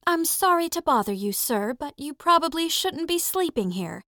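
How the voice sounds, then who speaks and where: Woman acting a soft, high-voiced 1940s film actress. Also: very animated, moderate pace, no room sound, studio quality, exaggerated voice. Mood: soft urgency. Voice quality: soft, sultry.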